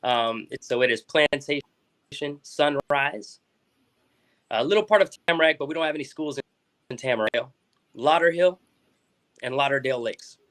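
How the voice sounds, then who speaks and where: A man's voice: medium pitch, moderate pace, pauses often, fairly bright tone. A young man from South Florida, a school board member as guest, talking casually with many restarts and fillers. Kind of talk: casual interview talk.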